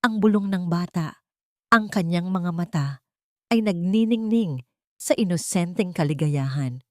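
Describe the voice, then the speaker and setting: A woman narrating a scripted dramatic story in a solemn, sentimental and measured way. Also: fairly low pitch, mellow, no room sound, clean studio sound.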